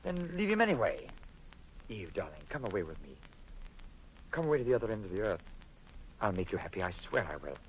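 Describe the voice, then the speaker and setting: Low, animated ardent lover. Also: male voice, a little fast, long pauses. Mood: ardent, pleading. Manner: melodramatic, earnest. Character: ardent, protective male lover, anxious and pleading. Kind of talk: old-time radio horror acting. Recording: noisy, dull old-time radio broadcast.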